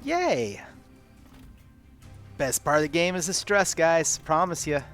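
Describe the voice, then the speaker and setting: A man's voice: on the high side, very animated, takes it slowly, fairly bright tone. A man playing in a live tabletop roleplaying game, talking through his moves in casual banter. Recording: music behind the voice. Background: background music.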